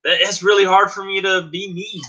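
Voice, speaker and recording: A man's voice: high voice, level tone, a little fast, bright, forceful tone, dull audio. A man guest-hosting a casual podcast, earnest and insistent, repeating his points. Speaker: guest host.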